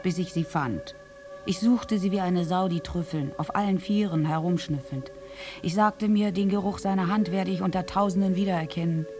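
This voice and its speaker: An actress playing a half-mad, obsessed soldier's lover in an intense, deliberate radio drama monologue that dips into breathy whispers. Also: female voice, mid-range voice, natural voice.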